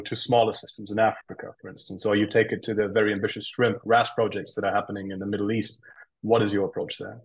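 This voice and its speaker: A man with a somewhat low voice hosts a live webinar. He keeps things moving steadily and reads out questions from the audience. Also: poor audio. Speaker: webinar host and moderator.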